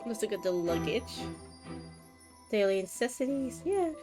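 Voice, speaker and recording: Casting: female game streamer, casual and hesitant, unhurried pace, music playing behind the voice. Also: mid-range voice, very animated. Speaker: live streamer.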